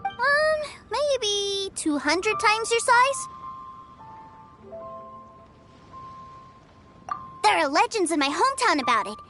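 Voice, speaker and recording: An innocent little boy in an anime-style game. The voice is very high and childlike, rising and dipping freely with earnest curiosity. Music plays clearly behind it. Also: sing-song, measured pace, exaggerated voice. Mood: earnest storytelling. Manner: childlike, earnest, wide-eyed.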